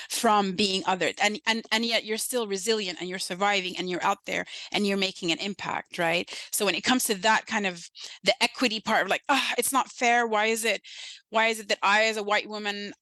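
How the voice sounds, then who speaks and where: A woman's voice: medium pitch, normal speed, a bit bright, no room sound, low-quality recording. A woman from Sudan, probably in her thirties, with a Sudanese accent tinged with British. She speaks as a guest in a podcast conversation, earnestly and emphatically, and often checks in with "right?". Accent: Sudanese, with a light British lilt.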